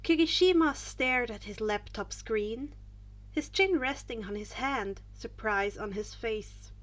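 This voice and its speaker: A woman's voice: fairly high pitch, slow. A woman narrating fan fiction as an amateur audiobook reader, reading from a script with the odd stumble.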